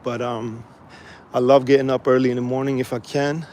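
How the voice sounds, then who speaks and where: A man from Sweden casually chatting to viewers while walking outdoors by the water, relaxed and rambling with many fillers. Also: medium pitch, brisk. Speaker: vlogger. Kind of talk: casual live-stream walking vlog. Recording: faint outdoor street noise.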